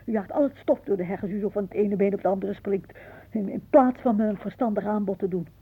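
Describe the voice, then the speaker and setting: An actress playing a fretful, suspicious older aunt with a sharp tongue in a radio play. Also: female voice, mid-range voice, fast talker, breathy edge, lightly acted. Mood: scolding. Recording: muffled phone-like old radio recording.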